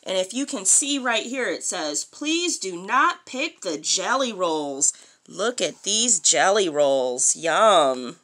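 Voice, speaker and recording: A woman in her thirties reading a picture book aloud to a young child in an expressive, sing-song way, adding questions and comments. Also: medium pitch, slow pace. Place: small furnished room indoors.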